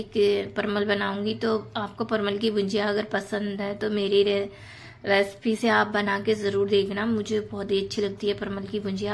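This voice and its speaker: A woman with a hoarse, strained throat talks casually through her day's housework, filling gaps with little fillers. Her pitch stays level and flat. Faint noise sits behind the voice. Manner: chatty, many fillers, addresses viewers as friends. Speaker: home vlogger. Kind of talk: casual voice-over narrating housework.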